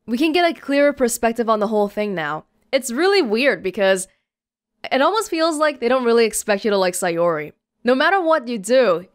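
A woman's voice: a little high, clear, resonant tone. A young adult woman reading a game aloud and adding casual commentary as a let's play host. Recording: game music behind the voice.

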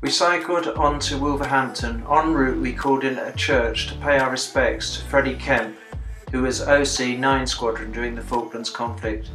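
A man's voice: mid-range voice, fairly even intonation, moderate pace, sharp tone, echoey room, faint music behind, poor audio. A British man in his sixties, a veteran narrating a video diary of a commemorative bike ride in a plain-spoken, dryly understated way. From Britain. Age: 60s. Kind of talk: reflective voice-over narration.

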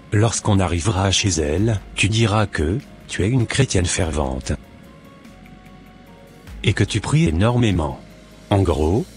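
Deep-voiced young evangelist played by a man. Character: young marriage-minded evangelist man, polite and scheming.

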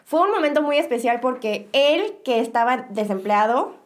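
A woman's voice: high-pitched, expressive, moderate pace. A young woman with a Mexican Spanish accent, hosting a podcast in casual, bubbly chat and laughing often. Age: young adult. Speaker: podcast host.

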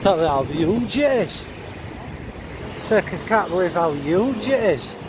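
A man's voice: sits high, very animated, a little slow. A man with a Yorkshire accent chatting casually and informally to viewers as he films a walking vlog outdoors. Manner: informal, mildly sweary, chatty asides to viewers. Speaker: vlogger. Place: outdoors, walking along paths and fences. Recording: noisy outdoor recording with wind.

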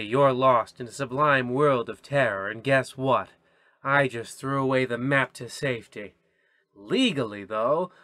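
A man's voice: mid-range voice, sharp tone, dry recording, clean studio sound. A man playing a gleefully macabre horror radio host, theatrical and mock-ominous, parodying old-time radio. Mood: gleeful, wry.

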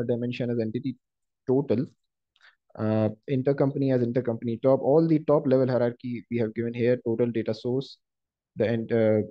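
A man narrates a software lesson in a dull, lo-fi recording. His voice sits at an average pitch. He explains each step in a halting, instructive way, as a trainer sharing his screen. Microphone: screen-recording voice-over.

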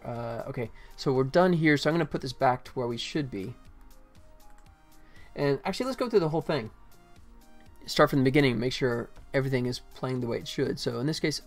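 A man's voice: mid-range voice, creaky. A middle-aged man gives casual live commentary as a game developer, thinking aloud in short fragments. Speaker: game developer livestreaming his work.

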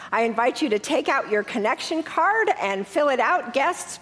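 Middle-aged woman pastor, a little stuffed up from a cold, friendly and conversational, long church reverb. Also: a little high. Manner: warm, conversational announcements then measured liturgical prayer. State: congested with a head cold. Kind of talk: church welcome and liturgical prayer. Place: large church sanctuary.

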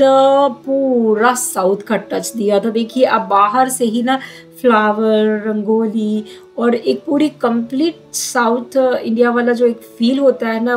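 A woman's voice: a little high, darkish tone. Woman vlogger, 40s, casual filler-heavy chat into a handheld phone, faint music behind. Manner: chatty, mixes in English phrases, many "jo hai na" fillers. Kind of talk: casual vlog narration. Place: car and busy restaurant. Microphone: phone camera at arm's length.

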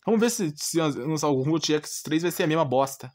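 A Brazilian man with a slightly high voice speaks as if to his channel's viewers in an informal rant. He is blunt and exasperated, circling back over his points and firing off rhetorical questions. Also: animated, fairly quick, a little mellow. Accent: Brazilian Portuguese. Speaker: gaming channel host.

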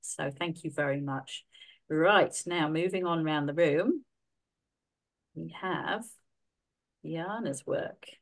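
A middle-aged woman hosting an online exhibition opening, gently guiding her audience with frequent fillers. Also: low-pitched, takes it slowly, pauses often. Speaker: mentor and event host.

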